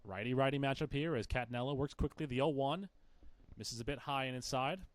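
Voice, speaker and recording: A man's voice: mid-range voice, a little fast, a little hiss or hum. A man calling a baseball game live as play-by-play commentator.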